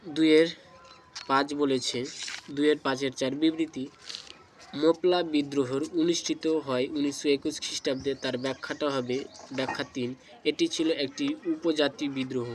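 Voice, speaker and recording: A man reading out exam questions and answers as a tutor, in deliberate, segmented phrases. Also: fairly high pitch, slight background noise, rough recording. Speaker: exam tutor, channel host.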